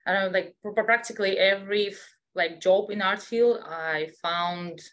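A woman's voice: mid-range voice, moderate pace, fairly bright tone. A woman with a Russian accent, a guest on an interview show, chatting casually with lots of fillers and restarts. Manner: chatty, many fillers and restarts, non-native grammar. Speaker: guest, scientific illustrator.

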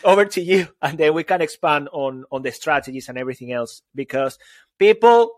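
A man with a Venezuelan Spanish accent co-hosting a podcast, energetic and playful in casual chat. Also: fairly quick, no echo. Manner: energetic and playful, exclaims "boom". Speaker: podcast co-host. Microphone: studio microphone.

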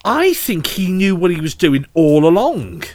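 Lively, opinionated male podcast host. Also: high voice. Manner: animated, opinionated, quick to joke. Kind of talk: casual chat.

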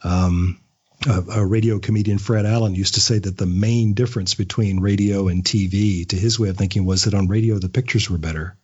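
A man in his fifties chatting casually as a podcast guest, telling stories in a halting, anecdotal way on dull, lo-fi audio. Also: deep voice, fairly even intonation, a little mellow, slightly rough. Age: 50s. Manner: chatty storyteller, halting with repeated words, chuckles often. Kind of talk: casual interview chat.

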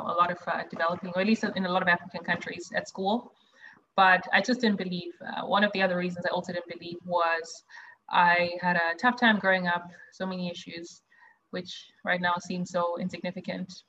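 A woman's voice: medium pitch, fairly bright tone, highs cut off. A young woman from Zimbabwe, a guest on a video call, telling her life story in a chatty, candid way with many fillers and restarts. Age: young adult. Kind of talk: personal testimony on a video call.

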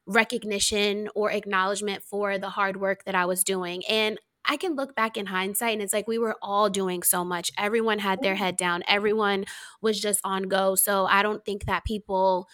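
Soft-voiced woman hosting a chat. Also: mid-range voice, fairly even intonation, normal speed. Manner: gentle, reflective, uses many fillers. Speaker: podcast host. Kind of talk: casual interview chat.

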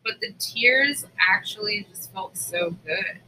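A woman's voice: medium pitch, slow pace, bright, forceful tone, a little hiss or hum, low-quality recording. A woman podcast co-host talking casually and frankly, with informal, sweary phrasing. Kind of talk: casual chat.

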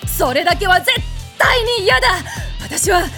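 A woman playing a proud, hot-tempered goddess in an audio comedy drama, bossy and rough-spoken. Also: very high voice, lively intonation, moderate pace, sharp tone, exaggerated voice. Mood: exasperated. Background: music.

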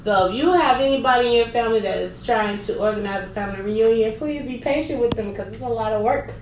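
A woman's voice: a little high, fast talker. A middle-aged woman co-hosting a casual chat show, telling stories with lots of laughter. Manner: laughing, chatty storyteller. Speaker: co-host. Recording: roomy, a bit distant, faint noise.

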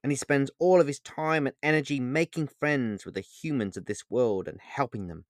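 A man's voice: mid-range voice, normal speed, no echo, studio quality, pitched above the performer's own voice, lightly acted. A man playing a proud, bitter ancient god, emphatic. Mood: bitter, derisive. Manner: theatrical, declamatory, emphatic. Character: wild-eyed ancient male god Pan, sardonic, bitter and scornful. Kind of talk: dramatic fantasy storybook narration.

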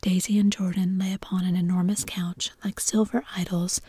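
A woman reads a novel aloud entirely in a whisper, unhurried and soft. Her breathy whisper sits low and mellow, right against the mic. The sound is dry, with no room around it. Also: little pitch movement, dry recording. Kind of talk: whispered ASMR reading of a novel.

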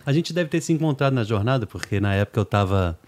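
A man's voice: on the low side, lively intonation, fast talker, a little mellow. A man interviewing a guest in a chatty, rambling way, often checking in with tag questions. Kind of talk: interview conversation.

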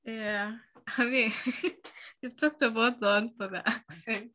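A woman from Uganda hosting a casual interview, soft and hesitant with many fillers. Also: on the high side, fairly even intonation, slow pace.

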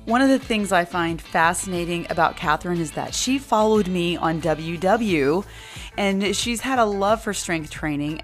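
A woman hosts a fitness podcast in an effusive, upbeat style. Her voice sits a bit low. Faint music plays behind her. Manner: effusive, enthusiastic. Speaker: podcast host and fitness coach.

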